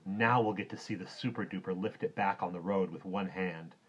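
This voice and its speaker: A man voicing an excitable, awestruck, loyal young boy in a storybook reading. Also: sits low, brisk, highs cut off, a little hiss or hum, lightly acted. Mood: awed. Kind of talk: children's storybook character voice.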